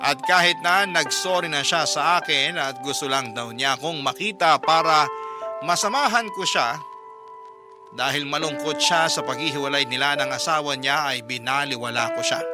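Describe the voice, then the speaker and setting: A man narrating a scripted first-person story as a radio host, reading out a letter. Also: on the high side. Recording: music bed under the voice. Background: prominent background music.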